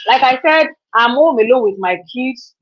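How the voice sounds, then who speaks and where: A woman's voice: fairly high pitch, expressive, normal speed. A woman, chatting casually on a live stream, repeating herself and laughing often. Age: 30s. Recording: dull lo-fi stream audio.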